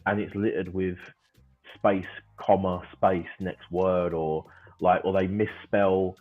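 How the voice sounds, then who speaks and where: Deep-voiced man on a tinny line. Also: little pitch movement. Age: 30s. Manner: chatty, ranting playfully, quick laughs. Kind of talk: casual livestream chat. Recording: tinny phone-like call audio.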